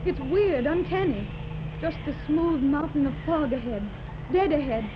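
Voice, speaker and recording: A woman's voice: high-pitched, unhurried. An actress playing an anxious, earnest wealthy woman searching for her daughter in a 1930s radio drama. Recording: muffled old radio transcription with loud hiss.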